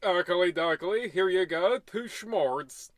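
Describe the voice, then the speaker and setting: A chipper small-time attendant acted out by a man in an old-style radio comedy. The voice is high, folksy and chatty. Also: takes it slowly, no echo, clean studio sound. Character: folksy, chipper male fuel-stop attendant.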